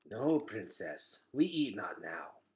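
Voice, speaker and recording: Man voicing a slow, stiff giant cockroach. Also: fairly low pitch, sing-song, a little mellow, echoey room. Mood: politely declining. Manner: stiff, inverted old-fashioned phrasing.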